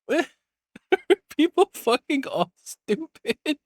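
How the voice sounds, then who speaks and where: A man's voice: very high voice, very animated, slow pace, dry recording, studio quality. A man giving casual, unscripted reaction commentary as a video host, blunt and profane, exclaiming when worked up.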